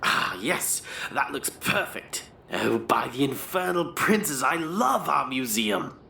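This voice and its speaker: Man playing a gravelly, eager grave-robber sidekick. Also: medium pitch, big pitch swings, slow pace, bright, forceful tone, reverberant, a little hiss or hum, heavily acted. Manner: dramatic, eager to agree. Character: eager, devoted, morbid male grave-robbing companion. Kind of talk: horror audio drama acting.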